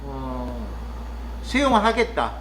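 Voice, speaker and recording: A man from Korea's Chungcheong region talking casually and reflectively to the camera, pausing often with fillers as he muses. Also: medium pitch, sing-song, fairly bright tone, slight background noise, rough recording. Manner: thoughtful, musing, with frequent pauses and fillers. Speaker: vlogger living in the countryside.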